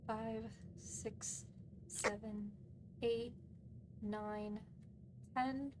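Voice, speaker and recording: Excitable cursing woman streaming a game. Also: a little high, very slow, noisy background. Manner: swears a lot, excitable outbursts, talks to chat. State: a bit hoarse. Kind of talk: live gaming stream commentary.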